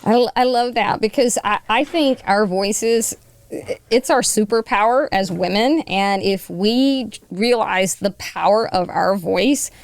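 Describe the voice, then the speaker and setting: A woman's voice: medium pitch, slow pace, no echo. A woman hosting a podcast panel, enthusiastic and encouraging as she draws out her guests. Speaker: podcast host. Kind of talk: casual panel conversation.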